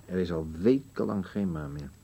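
A man's voice: sits low, creaky, breathy edge, dull audio, heavily acted. A man playing a bleak, stubborn old husband in an absurdist radio play, answering tersely and with resignation. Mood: bleak, cryptic. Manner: terse, flat denials, slow resigned answers.

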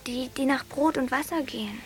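A high, frail child's voice in a radio play. The little village girl is dreamy and literal, answering in simple phrases, with music in the recording. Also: normal speed, breathy edge. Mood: matter-of-fact. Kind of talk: German radio drama acting.

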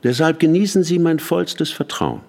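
A man's voice: on the high side, big pitch swings, normal speed, vocal fry, a little mellow. An actor playing an imperious, condescending male company boss in a radio drama, speaking in a commanding, pointed way. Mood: cold, commanding.

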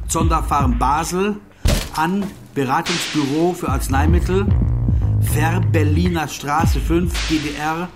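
An actor playing a cynical, driven investigator in a radio crime drama, curt, biting and insistent. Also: male voice, a little high, natural voice. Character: sick, driven male investigator, cynical and bitter. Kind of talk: modern German radio crime drama acting.

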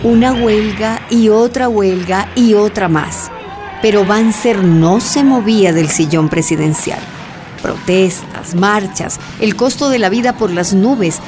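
Female narrator, scripted radio drama, mid-range pitch, music underneath. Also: slow pace.

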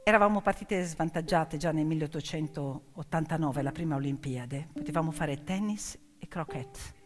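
A woman performing a dramatic theatrical storytelling monologue, emphatic and moving between hushed and declaimed delivery. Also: low-pitched, normal speed. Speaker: stage actress and storyteller. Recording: long reverberant hall with music. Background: piano music.

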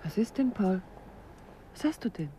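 An actress playing a detective's curious, lightly teasing wife in a 1950s radio play. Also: female voice, mid-range voice, very animated, normal speed, close to an everyday voice. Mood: puzzled. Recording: noisy old radio drama recording.

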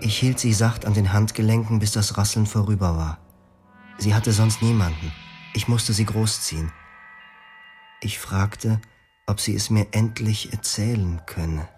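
A man's voice: on the low side, a little slow, lightly acted. A man playing a brooding young husband narrating. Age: 20s. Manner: calm, reflective, poetic narration. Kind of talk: radio drama narration. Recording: radio play with music underneath.

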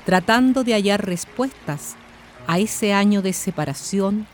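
Slow-paced woman narrator. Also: mid-range voice, a little hiss or hum. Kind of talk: scripted literary radio drama narration. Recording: faint music and rain bed. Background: soft music and rain.

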